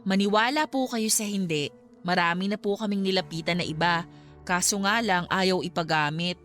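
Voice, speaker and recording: A woman's voice: fairly low pitch, moderate pace, fairly bright tone, soft background music, close to an everyday voice. A woman playing a polite, businesslike young vlogger who explains things courteously in a Filipino radio drama. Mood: polite, explaining.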